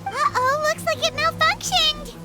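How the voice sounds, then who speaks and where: A woman voicing a tiny, excitable floating fairy companion in a video game, squeaky, childlike and naively eager. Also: a little slow, soft background music, full character voice. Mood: alarmed.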